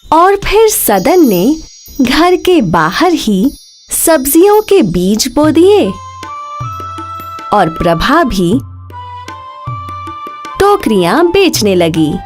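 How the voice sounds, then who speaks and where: A woman tells a children's tale in a lively, swooping voice at an unhurried pace. She speaks as a measured storyteller, with music playing softly behind her. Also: high-pitched. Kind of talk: scripted children's story narration.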